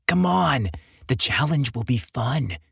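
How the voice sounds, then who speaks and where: A man's voice: medium pitch, expressive, slow pace, vocal fry, a bit bright, slightly rough, dry recording, clean studio sound, exaggerated voice. A man voicing a mischievous young male buddy in an audiobook, teasing and goading playfully. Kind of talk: audiobook character dialogue.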